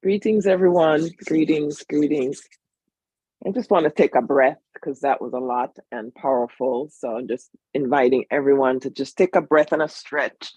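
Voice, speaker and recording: A woman guest speaker on a video call, talking calmly and invitingly, with soft hums between her phrases. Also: a bass voice, normal speed, husky, no room sound, poor audio. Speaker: guest speaker from a partner organisation. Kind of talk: online panel talk.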